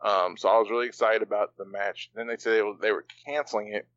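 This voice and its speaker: Laid-back low-voiced man, rambling. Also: steady tone, quick pace, a bit bright, muffled recording. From part Bahamian. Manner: loose, rambling, lots of fillers and slang. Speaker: podcast co-host. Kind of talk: casual chat.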